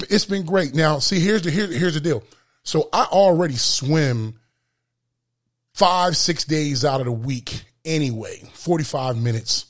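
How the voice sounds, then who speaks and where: A man hosting a solo motivational podcast with a booming voice, speaking emphatically and repeating phrases for effect. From lives in Florida. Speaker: podcast host.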